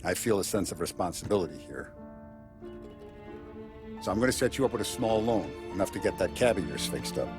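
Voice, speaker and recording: An actor playing a calm, commanding male mob boss who lectures in a measured way, in a video game cutscene. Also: sits low. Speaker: crime boss. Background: cinematic music.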